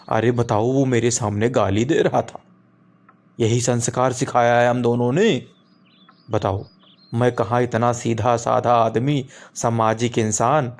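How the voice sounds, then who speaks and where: A man's voice: medium pitch, darkish tone, close to an everyday voice. A man voicing an exasperated, preachy middle-aged father in a comic cartoon. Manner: preachy, foul-mouthed. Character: exasperated middle-aged Indian father scolding his wayward son.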